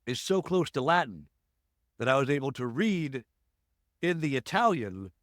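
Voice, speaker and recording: An American man hosting a podcast interview over a remote call, chatty and quick with jokey asides. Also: medium pitch, big pitch swings, fairly bright tone, no echo, clean studio sound. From United States. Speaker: podcast host. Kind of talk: casual interview chat.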